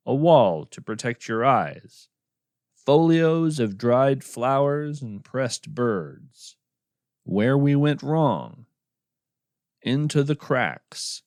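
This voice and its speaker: A man playing a wry, musing naturalist who hosts a radio show of the uncanny, narrating in a measured, contemplative, folksy way. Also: medium pitch, a little mellow, no room sound, clean studio sound. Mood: wry, reflective. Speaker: podcast host and narrator. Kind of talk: scripted narration, reflective storytelling with poetry reading.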